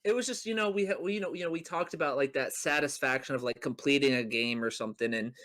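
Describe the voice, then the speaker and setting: A man's voice: mid-range voice, fast talker, a bit bright. A man chatting casually as a podcast co-host, telling stories in a rambling way with playful mock boasting. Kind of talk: casual chat on a gaming podcast.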